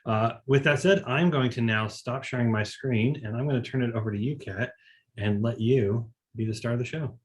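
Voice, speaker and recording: A man's voice: medium pitch, moderate pace. A man hosting a tech webinar over a video call, introducing the speaker in a casual way with many uhs. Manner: casual, filler-heavy, friendly. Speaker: host.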